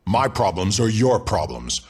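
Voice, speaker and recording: An actor playing a big, angry, menacing gang boss, blunt and threatening, in a video game. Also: male voice, fairly low pitch, very animated, measured pace, heavy background noise. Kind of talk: video game cutscene acting.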